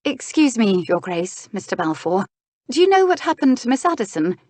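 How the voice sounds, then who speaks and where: Woman playing a polite, flustered young lady, raised pitch. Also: big pitch swings, moderate pace, a little mellow, dry recording. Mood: flustered, apologetic. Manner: apologetic, earnest, well-mannered. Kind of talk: historical romance audiobook character.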